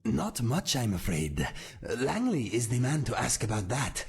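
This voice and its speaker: An actor voicing a calm, earnest male cat-folk swordsman in a game, speaking in a measured, formal way with a raspy voice. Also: medium pitch, heavily acted. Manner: measured, formal and warm-hearted. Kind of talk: game character dialogue.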